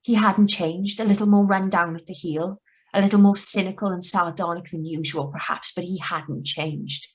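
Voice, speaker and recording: A woman's voice: fairly low pitch, steady tone, normal speed, lightly acted. A woman acting an anxious young woman living under a false identity, in a radio suspense play read over a video call. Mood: haunted. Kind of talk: 1940s-style radio suspense narration and dialogue. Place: remote live reading over video call.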